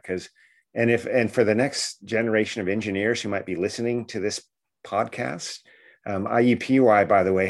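A man co-hosting a podcast, talking casually and enthusiastically with many fillers and restarts. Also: fairly low pitch. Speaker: podcast co-host.

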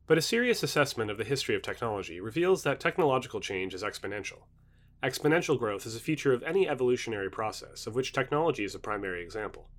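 A man's voice: on the low side, a bit bright. A man reading a nonfiction book aloud as scripted narration.

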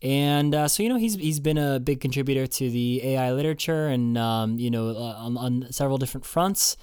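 A man's voice: mid-range voice, fairly quick, darkish tone, clear, resonant tone, no echo, studio quality. A man hosting a podcast, introducing his guest in a loose, casual way full of fillers. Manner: casual, hedging with many fillers. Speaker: podcast host.

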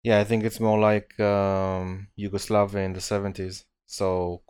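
A man's voice: on the low side, level tone, measured pace, darkish tone, smooth. A man hosting a podcast conversation, hesitant with many fillers as he asks his guest questions. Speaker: podcast host. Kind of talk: casual interview conversation.

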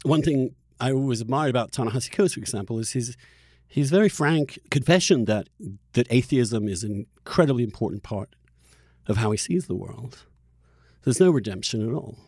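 A man's voice: mid-range voice, expressive, normal speed, darkish tone, slightly rough, no room sound, studio quality. A middle-aged man hosting an opinionated interview conversation, arguing his points emphatically with the odd chuckle. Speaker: podcast host.

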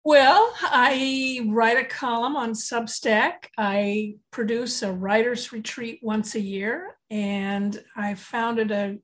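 A woman columnist introducing herself to a group, brisk and matter-of-fact. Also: fairly high pitch.

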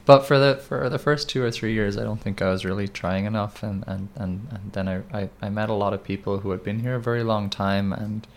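Male band member, lowish voice, casual rambling interview guest. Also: steady tone, a little fast, few pauses. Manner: rambling, many restarts and fillers.

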